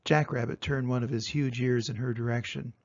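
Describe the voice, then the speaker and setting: An older man reading a children's story aloud with expressive, dramatic emphasis. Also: medium pitch, fairly quick, no echo, muffled recording. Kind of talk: animated children's storybook narration.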